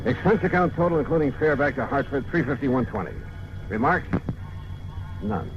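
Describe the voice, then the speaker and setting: An actor playing a weary insurance investigator with a dry manner, in an old radio drama. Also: male voice, medium pitch, animated, normal speed, background noise, lightly acted. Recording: dull old radio broadcast.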